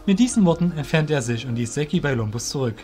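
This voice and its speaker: A man narrating a scripted audio drama as storyteller, in a measured, literary reading manner. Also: fairly high pitch, lively intonation, a little mellow, faint music behind.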